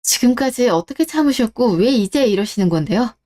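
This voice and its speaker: A woman's voice: on the high side, expressive, fairly quick, warm tone, lightly acted. A woman playing a caring but indignant young wife in a scripted radio drama, polite yet firm.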